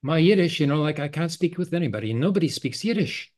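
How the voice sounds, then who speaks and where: An older man, in his sixties or beyond, talking politics in a halting, conversational way. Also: fairly high pitch, animated, darkish tone. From Canada. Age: 60s or older. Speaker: forum host. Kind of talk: casual political discussion. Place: indoor room, video call.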